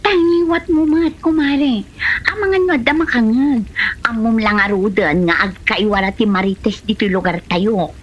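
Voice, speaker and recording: A woman's voice: a little high, a little slow. A woman acting in an Ilocano comedy drama as a scheming younger woman who sweet-talks her elderly sugar daddy, with an Ilocano Filipino accent. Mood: brazen. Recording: muffled audio with music behind.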